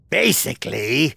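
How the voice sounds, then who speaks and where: A man's voice: fairly high pitch, gravelly, bright, forceful tone, slightly rough, dry recording, heavily acted. An actor playing a cruel alien henchman in an anime game, mocking and gloating as he threatens. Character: cruel, taunting male alien henchman of a tyrant. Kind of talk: dubbed anime game acting.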